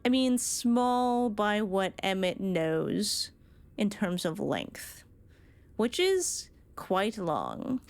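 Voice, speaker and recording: A woman's voice: mid-range voice, very animated, extremely slow, darkish tone, smooth. A woman streamer giving casual, reflective commentary on a game, analytical and happy to swear.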